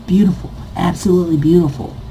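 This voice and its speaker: A man voicing an excitable, pompous male esports commentator from a visual novel, speaking in an emphatic, declamatory way. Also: sits high, fast, a little hiss or hum, pitched above the performer's own voice, close to an everyday voice, rough recording. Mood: impressed, analytical.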